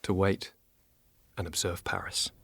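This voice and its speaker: A man's voice: deep voice, flat delivery, unhurried, vocal fry, a bit bright, slightly rough, heavily acted. A man playing a loyal French duke and army commander in a historical audio drama, plain and matter-of-fact.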